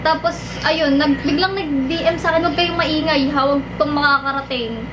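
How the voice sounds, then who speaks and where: A young woman chatting casually in a rambling, halting way that repeats itself. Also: high-pitched, background noise. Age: young adult. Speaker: vlogger making a prank call. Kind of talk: casual chat with friends on a call.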